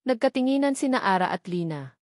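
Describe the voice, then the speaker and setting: A woman's voice: fairly high pitch, expressive, a little fast, a little mellow, no room sound, studio quality. A woman with a Filipino accent reading a scripted romance story as a dramatic narrator.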